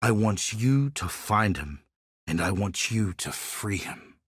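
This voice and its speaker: An actor playing a sly, world-weary male barber and informant in a video game, husky and wry in his phrasing. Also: on the low side, animated, slow, a bit bright, dry recording, studio quality, exaggerated voice. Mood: earnest, grave. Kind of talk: scripted video game character dialogue.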